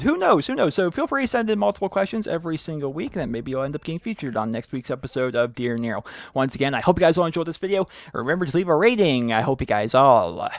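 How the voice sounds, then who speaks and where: Fast-talking young man losing his voice. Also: fairly high pitch, dry recording. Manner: rambling, filler-heavy, "like" after every few words. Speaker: YouTube gaming channel host. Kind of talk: casual chat answering viewer questions.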